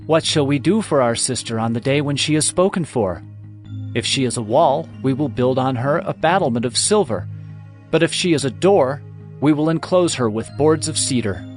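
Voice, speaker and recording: A man's voice: medium pitch, animated, moderate pace, soft background music, close to an everyday voice. A man playing a protective, deliberating brother in a dramatized scripture audiobook. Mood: concerned, resolute. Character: protective brother deliberating over his little sister. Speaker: actor in a dramatized Bible audiobook.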